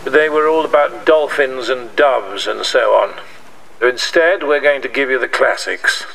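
Slow, wry groom at a windswept wedding. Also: male voice, medium pitch, vocal fry, fairly bright tone. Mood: halting, solemn. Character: groom reading his vows at a rainy beach wedding, wry, tender. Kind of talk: audio drama acting. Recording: noisy outdoor scene, distant from mic.

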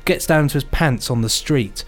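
A man's voice: mid-range voice, moderate pace, soft background music. A man co-hosting a podcast in a casual, enthusiastic, jokey chat style. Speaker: podcast co-host.

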